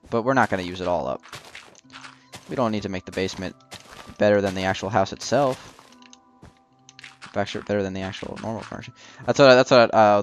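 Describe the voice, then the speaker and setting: A man's voice: lowish voice, vocal fry. A young man casually commenting while building in a video game, rambling and joking with lots of fillers. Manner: rambling, jokey, many fillers. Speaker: gaming channel host.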